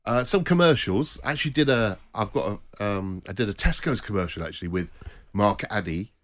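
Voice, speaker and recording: A middle-aged man with a very low voice tells rambling stories. He rattles along quickly. His humour is easy and self-mocking. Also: expressive. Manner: jokey, rambling anecdotes. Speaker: studio guest, former actor. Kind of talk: casual radio chat.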